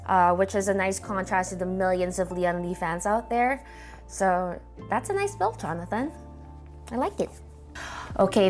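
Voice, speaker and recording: A woman with a Filipino accent, hosting and chatting casually as she looks over viewers' setups. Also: medium pitch. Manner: chatty, upbeat, thinks aloud. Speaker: host reviewing viewer gaming setups. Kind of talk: casual commentary.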